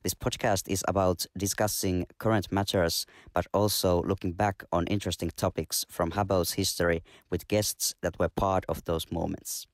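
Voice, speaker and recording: A man co-hosting a podcast, introducing the show and its guests in a measured, formal way. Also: low-pitched, little pitch movement, dry recording, clean studio sound. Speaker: podcast co-host.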